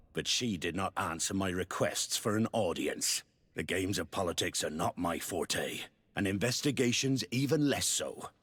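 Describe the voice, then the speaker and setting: An actor playing a proud seafaring port commander in a fantasy video game, with formal, old-fashioned, salty phrasing. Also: male voice, lowish voice.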